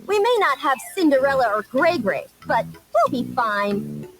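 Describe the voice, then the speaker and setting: A woman's voice: high-pitched, sing-song, a little slow, music in the background. A woman playing a boastful, childlike hero girl in a video game, with a theatrical, goofy delivery. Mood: breezy.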